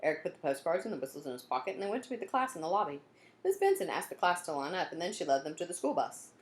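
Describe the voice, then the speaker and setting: A woman reading a children's book aloud in an expressive storytelling style. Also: fairly low pitch, very fast, roomy sound. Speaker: storybook reader.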